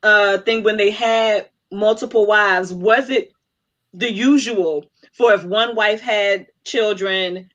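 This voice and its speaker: Slightly dull, lo-fi online audio carries a woman's mid-pitched voice. She hosts a casual discussion in a conversational style. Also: steady tone, takes it slowly, fairly bright tone. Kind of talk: casual live book discussion.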